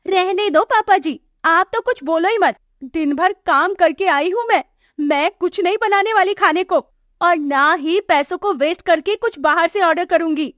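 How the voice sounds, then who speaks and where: A woman's voice: very high-pitched, moderate pace, a bit bright, no echo, clean studio sound. A woman voicing an arrogant young working daughter-in-law in a moral story, scornful and complaining. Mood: contemptuous, irritated. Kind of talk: acted moral story dialogue for animation.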